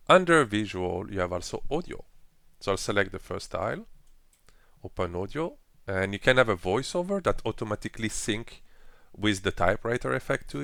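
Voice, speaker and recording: A man presenting a casual software tutorial with phrasing and frequent fillers, explaining each step while clicking through an editor. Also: on the low side, vocal fry, dry recording. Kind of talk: screen-recorded software walkthrough.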